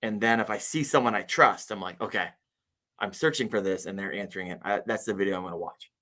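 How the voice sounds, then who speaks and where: A man speaks as a guest on a live podcast in a low voice with a bright, forceful edge. He talks earnestly and emphatically, like a coach laying out advice. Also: quick pace. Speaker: podcast guest, kayak fishing YouTuber. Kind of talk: casual live podcast interview.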